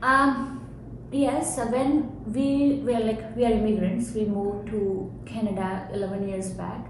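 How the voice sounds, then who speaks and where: A middle-aged woman from India, a college professor, answering interview questions in a loud, animated way and laughing often. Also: mid-range voice, unhurried. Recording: echoey room with background noise.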